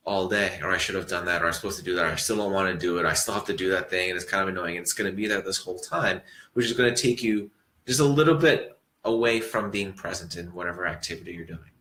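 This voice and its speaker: A man with a low voice and a bright, forceful edge talks through ideas about mindfulness in a relaxed, meandering way. He often circles back and restarts his sentences. His voice rings in an echoey room. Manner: relaxed, rambling, thoughtful with frequent restarts. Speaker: acupuncturist giving a mindfulness talk. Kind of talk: reflective talk to an audience.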